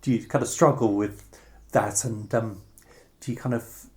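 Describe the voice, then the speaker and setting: A man's voice: lowish voice, fairly even intonation, moderate pace, a little mellow. A man interviewing in a conversational style, putting his questions in a hesitant, tentative way.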